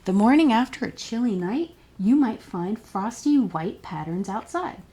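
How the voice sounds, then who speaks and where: A woman's voice: a little high, expressive, measured pace, warm tone. A woman reading a children's picture book aloud as a storytime librarian, expressively and with questions put to young listeners.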